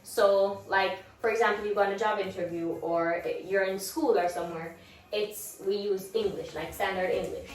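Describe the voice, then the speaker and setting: A young Jamaican woman vlogging in casual chat, lively and laughing, with Patois exclamations. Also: mid-range voice, moderate pace. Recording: roomy echo from a distance.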